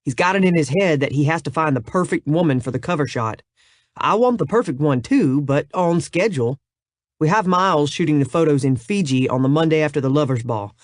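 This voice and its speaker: A man voicing a composed businessman older brother who speaks in a calm, decisive way. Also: a little high, a little fast, dry recording, studio quality, natural voice.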